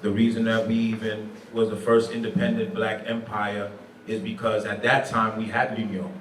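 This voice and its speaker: Low flat-voiced man in echoey hall. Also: normal speed. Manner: thoughtful, mixes in Creole and French phrases. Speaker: teacher and nonprofit program director. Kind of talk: panel discussion answers. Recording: echoey room from far off, faint background noise.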